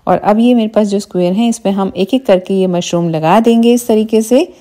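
A middle-aged woman hosting a cooking show from her home kitchen, calmly explaining each step of a recipe and often inviting the viewer to look. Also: mid-range voice, brisk. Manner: calm, patient explaining with frequent "dekhiye" prompts. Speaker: cooking channel host.

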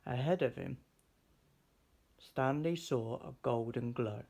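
A man reading a children's picture book aloud in an expressive storytelling style. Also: medium pitch, slow, creaky, husky. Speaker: storybook reader.

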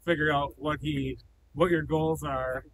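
A man's voice: on the high side, level tone, unhurried, gravelly, fairly bright tone, a little hiss or hum, low-quality recording. A man in his thirties interviewing a farmer in a large barn, conversational and prompting with questions. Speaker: interviewer for a silo company. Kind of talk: on-site interview. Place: indoor cattle barn, large, open-sided.

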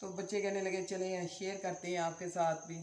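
A woman's voice: on the low side, little pitch movement, a little fast, darkish tone. Woman, middle-aged, from Pakistan, motherly, blessing-filled talk, echoey room, faint noise. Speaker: host's mother. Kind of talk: casual vlog chat.

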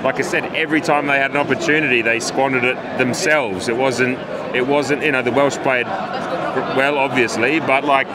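A man's voice: mid-range voice, steady tone, moderate pace, very noisy. A man in his thirties, a rugby fan talking casually and candidly after a match, with many fillers, outside a stadium at night, into a handheld mic. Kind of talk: casual post-match chat. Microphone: handheld mic held by interviewer. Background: loud crowd.

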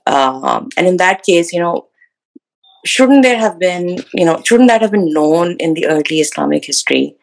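A woman's voice: fairly low pitch, expressive, normal speed, creaky, rough recording. A woman, a student, asking the lecturer a long question, hesitant and full of "you know" and "um".

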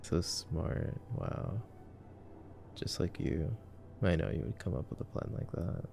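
A man doing an ASMR boyfriend roleplay, murmuring laid-back, casual movie commentary with long pauses. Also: low voice, animated, gravelly, dark tone. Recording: faint background noise.

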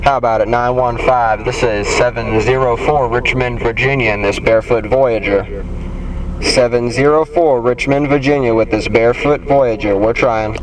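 A man's voice: medium pitch, fairly even intonation, moderate pace, poor audio. A man giving casual hands-on commentary as a CB radio hobbyist, recorded inside a car. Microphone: handheld camera near the dashboard. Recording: loud vehicle and radio noise. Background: radio static and chatter, vehicle noise.

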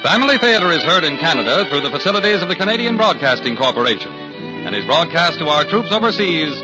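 A man's voice: fairly high pitch, very animated, quick pace. An American man announcing a 1940s radio programme in a formal, polished, scripted style. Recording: muffled old 1940s radio recording. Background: loud music.

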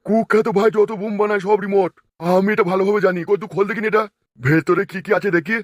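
A man's voice: sits high, fairly even intonation, quick pace, highs cut off, close to an everyday voice. A man voicing a brash, crude, bossy cartoon schemer. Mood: bossy, eager. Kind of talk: comic cartoon dubbing.

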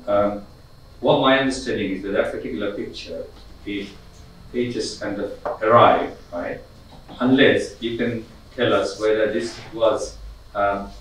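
A middle-aged man giving a public talk to an audience in a community conference room, speaking hesitantly with many fillers and restarts. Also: fairly low pitch. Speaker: guest lecturer. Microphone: far camera. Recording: echoey room from far off, faint hiss.

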